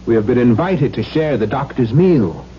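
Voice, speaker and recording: A man's voice: medium pitch, expressive, normal speed, close to an everyday voice. A man acting an urbane, skeptical baron in a radio drama, with a formal, teasing, arch manner. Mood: polite. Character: urbane, wry baron, skeptical gentleman cousin. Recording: muffled 1970s radio broadcast with noise.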